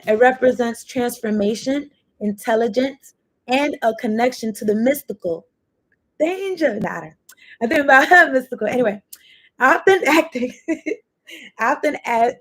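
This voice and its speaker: A woman's voice: fairly high pitch, animated, a little slow, rough recording. A woman doing a casual tarot reading, chatty and rambling as she free-associates between cards and thoughts, swearing now and then. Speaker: tarot card reader.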